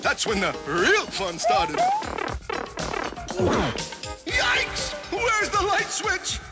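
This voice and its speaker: A man voicing a kindly, nervous, exasperated younger plumber brother in a cartoon, with a jittery, excitable manner. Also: extremely high pitch, very slow, loud music behind the voice, heavily acted. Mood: storytelling. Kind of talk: animated cartoon hero acting.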